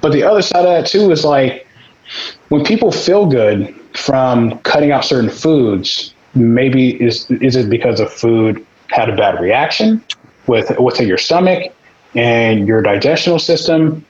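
A man's voice: medium pitch, animated, a little slow, darkish tone, lo-fi sound. A man in casual podcast chat, speaking bluntly with some swearing and acting out the people he quotes.

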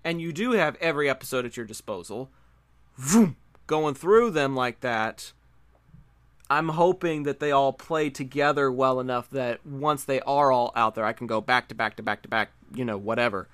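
A man's voice: mid-range voice, normal speed, a bit bright, no echo. A man co-hosting a podcast, talking casually about pop culture and repeating words for emphasis. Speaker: podcast co-host.

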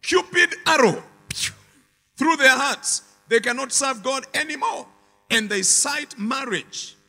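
A man's voice: extremely high pitch, slow, bright, forceful tone, in a large hall. A man preaching a sermon with emphatic, repetitive phrasing and call-and-response to the congregation.

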